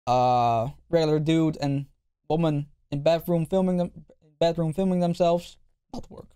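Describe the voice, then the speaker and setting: Blunt, profane male streamer with a dark voice. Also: fairly high pitch, steady tone, a little slow, clear, resonant tone. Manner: blunt, profane, repeats himself. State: losing his voice. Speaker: streamer reacting to a video. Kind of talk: casual reaction commentary.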